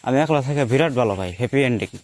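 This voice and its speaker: A young man with a mid-pitched voice talks in a rural Bengali dialect of Assam. He rambles through a casual personal monologue, often repeating his phrases. There is faint outdoor noise with birds behind him. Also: animated, very fast, a little mellow. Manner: rambling, repeats and rephrases himself. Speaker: storyteller recounting his own life.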